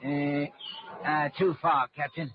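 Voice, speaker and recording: An actor playing an alert, obedient ship's mate in a 1940s radio drama. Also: male voice, a little high, little pitch movement, slow. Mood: eager, dutiful. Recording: dull, noisy 1940s radio recording.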